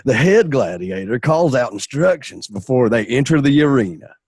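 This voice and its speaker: A man narrates an amateur radio play with theatrical, playful drama. His voice is husky and animated, swinging widely in pitch, and the recording is close and dry. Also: normal speed, no echo. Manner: dramatic, playful, acts out shouts and crowd. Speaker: narrator reading stage directions.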